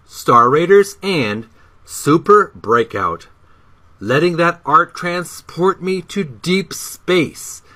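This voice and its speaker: A man reading a book introduction aloud as narration, with deliberate, emphatic phrasing. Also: a little high, sharp tone.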